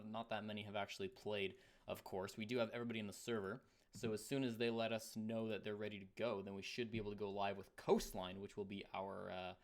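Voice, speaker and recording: A man with a Canadian accent giving live esports commentary in a conversational, analytical way as a caster. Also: on the low side.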